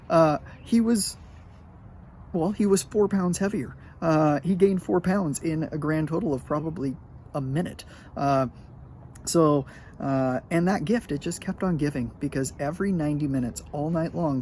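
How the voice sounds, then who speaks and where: A man's voice: on the high side, a little hiss or hum. Chatty middle-aged man telling a story. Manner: chatty, animated, lots of filler words. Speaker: high school sports medicine teacher. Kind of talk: casual storytelling to students.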